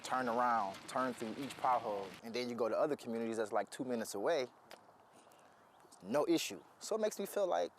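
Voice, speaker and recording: A man from Michigan speaking in a news interview, frustrated, loud and rambling. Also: medium pitch, lively intonation, a little fast, slight background noise. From Michigan, USA. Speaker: interviewee, resident.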